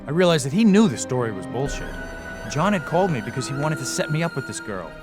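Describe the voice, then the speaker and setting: An actor playing a weary, sardonic young ghost hunter, narrating and talking in a wry, deadpan way in a radio drama. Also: male voice, fairly high pitch, fast talker. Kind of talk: first-person radio drama narration and dialogue. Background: loud dramatic music.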